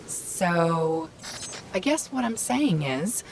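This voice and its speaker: An actress playing a witty, upbeat little AI companion in a video game, brisk and confident. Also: female voice, lowish voice, big pitch swings, vocal fry, a little hiss or hum, full character voice, poor audio. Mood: playful, warm. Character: witty, upbeat female Ghost, small AI companion. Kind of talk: scripted video game voice acting. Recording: music playing behind the voice.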